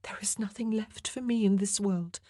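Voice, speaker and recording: Woman doing a frightened doomed queen, high breathy whispers, husky voice, dry close sound. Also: moderate pace, darkish tone, no room sound. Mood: anxious. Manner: trembling, breathy, often whispered pleading. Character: doomed young queen, frightened, faithful, fragile.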